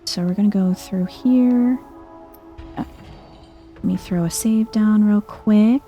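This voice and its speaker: A soft-spoken woman giving hushed, chill ASMR-style video game commentary, thinking aloud to herself. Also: mid-range voice, slow, faint music behind.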